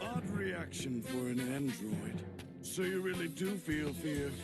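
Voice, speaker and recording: An actor voicing a proud, menacing, taunting alien warrior in an anime dub. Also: male voice, fairly high pitch, animated, slow pace. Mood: cold mockery. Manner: taunting, bombastic. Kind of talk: dubbed anime acting. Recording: loud music over anime clip.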